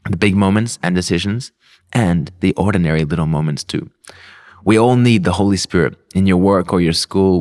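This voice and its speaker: A man's voice: deep voice, a little mellow. A young man with an Australian accent giving an earnest devotional sermon, telling a personal story. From Melbourne, Australia. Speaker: pastor.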